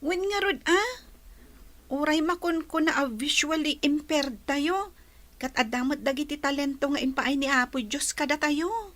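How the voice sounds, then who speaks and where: A woman's voice: high-pitched, normal speed, a bit bright. A woman with a Filipino accent voicing a sweet, earnest young schoolgirl in a radio drama. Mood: admiring, earnest. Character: sweet young schoolgirl, encouraging to her classmates. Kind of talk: Ilocano radio drama acting.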